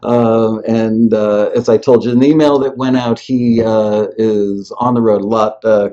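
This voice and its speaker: A man's voice: fairly low pitch, mellow, highs cut off. A man hosting a live web show in a casual, rambling, jokey way. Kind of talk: casual live interview chat.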